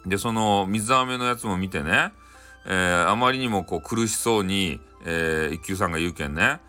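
A man with a Hakata dialect informally telling a bedtime folktale in a folksy, conversational way with halting phrases. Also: deep voice, pushed and bright, soft background music. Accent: Hakata, Japan. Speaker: storyteller.

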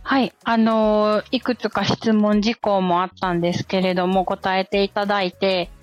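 A woman's voice: medium pitch, little pitch movement, normal speed, dry recording. A woman assisting on a podcast, talking politely and attentively with the host. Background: background music.

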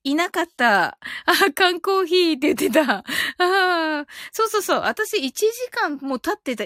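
Female livestream host, high register, very slow pacing, music underneath. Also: fairly bright tone, no echo, clean studio sound. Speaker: livestream host leading mindfulness sessions. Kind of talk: casual livestream chat.

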